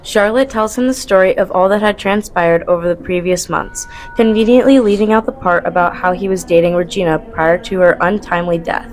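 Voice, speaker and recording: A woman's voice: on the low side, brisk, few pauses, natural voice, low-quality recording. A woman narrating a comic student radio play from a script. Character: storyteller of a comic melodrama.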